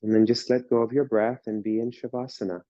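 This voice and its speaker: A man guiding a yoga class through slow breathing and relaxation, speaking in calm, soothing instructions. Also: mid-range voice, no echo, dull audio. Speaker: yoga teacher.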